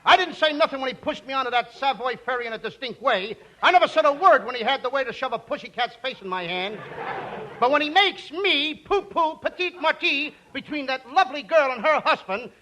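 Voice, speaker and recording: A man in a 1940s radio comedy, whining and indignant, tripping over big words. His voice is very high and bright, with a faint hiss of old broadcast noise behind it. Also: expressive, fairly quick, low-quality recording. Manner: whiny, indignant, malapropisms.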